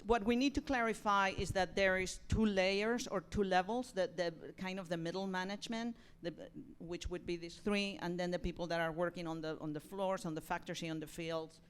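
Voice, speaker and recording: Clear-voiced woman leading a discussion. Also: lowish voice, fairly even intonation, fairly quick, few pauses. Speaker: theatre program director leading a feedback discussion. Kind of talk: informal post-reading talkback with audience.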